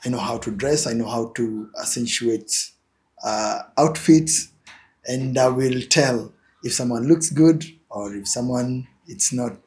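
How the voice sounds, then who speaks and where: A man's voice: medium pitch, a little slow, a little mellow. A young Kenyan man with a Kenyan accent, answering interview questions as an image consultant, with many fillers and repeated words.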